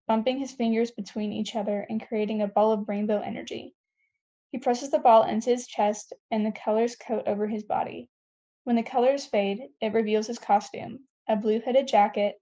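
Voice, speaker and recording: Mellow higher-voiced woman reading stage directions. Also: lo-fi sound. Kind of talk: scripted narration at a table read.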